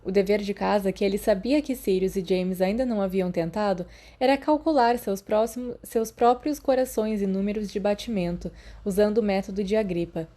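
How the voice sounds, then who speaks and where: A Brazilian woman narrates fiction in a warm, relaxed voice of medium pitch. She reads from a script like an audiobook narrator, now and then stumbling slightly and correcting herself. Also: a little fast, hardly any pauses.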